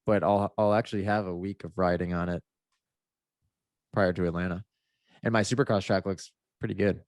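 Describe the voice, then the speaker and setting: A man's voice: deep voice, fairly even intonation, moderate pace, dry recording, clean studio sound. A man chatting casually in a podcast, rambling and laid-back with lots of fillers, his nose a little stuffed up. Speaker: motocross racer.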